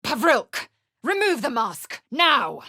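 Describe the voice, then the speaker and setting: Blunt githyanki warrior woman, husky and low, slow clipped delivery, dry studio sound. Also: sing-song, dry recording, exaggerated voice. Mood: commanding. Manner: clipped, commanding, often low and hushed. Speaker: game character. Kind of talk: studio game voice acting.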